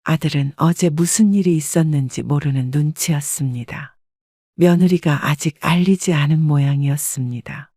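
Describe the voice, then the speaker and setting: A woman narrating a first-person family story in a calm, scripted storytelling style. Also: sits low, moderate pace, no room sound, studio quality.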